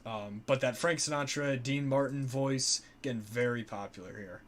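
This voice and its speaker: A man's voice: medium pitch, moderate pace, fairly bright tone. A young man co-hosting a casual live-stream chat about old music, loose and joking, with many fillers. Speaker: co-host.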